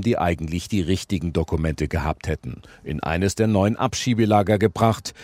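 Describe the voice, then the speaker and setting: A man's voice: low-pitched, a little mellow, dry recording, studio quality. A man reads a scripted radio news report as the reporter. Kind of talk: scripted news report narration with translated quotes read over.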